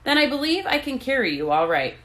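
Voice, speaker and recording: Storybook bird, small and female, done by a woman reader: raised pitch, brisk, matter-of-fact, reassuring rescuer. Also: sing-song, fairly bright tone, rough recording. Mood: practical, encouraging.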